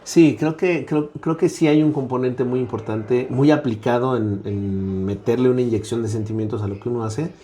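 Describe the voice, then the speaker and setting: A man's voice: mid-range voice, moderate pace, few pauses, mellow, slight background noise. A middle-aged Mexican man with a Mexican accent, speaking as a guest academic in a conversational interview, reflective, with frequent "eh" fillers and a habitual "¿no?".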